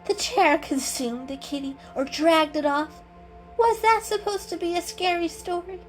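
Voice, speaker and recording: A woman's voice: extremely high pitch, measured pace, faint music behind, voice pushed up, low-quality recording. A woman voicing a sarcastic, irritable female house cat who needles and scorns in a children's story reading. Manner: sarcastic, prodding.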